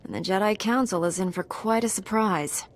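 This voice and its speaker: A woman's voice: medium pitch, moderate pace, lightly acted. A woman voicing a confident young female knight in a video game, direct and matter-of-fact. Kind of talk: video game character acting. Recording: game music behind voice.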